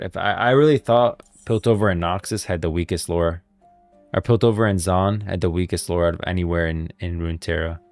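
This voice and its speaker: Man, low-pitched voice, dry close sound, livestream host, casual explaining, conversational, music behind. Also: darkish tone, no room sound. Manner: conversational, thinking aloud. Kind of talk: casual livestream chat, explaining game lore to viewers.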